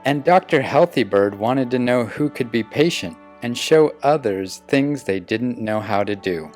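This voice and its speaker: A man voicing a proud, loyal young boy pelican in an earnest, expressive children's picture book read-aloud. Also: medium pitch, a little slow, lightly acted. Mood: fond. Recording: music behind the voice.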